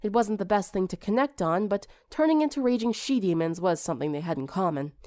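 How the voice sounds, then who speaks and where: A woman's voice: mid-range voice, expressive, fast, mellow, no room sound, studio quality. A woman reading scripted fiction as an audiobook narrator.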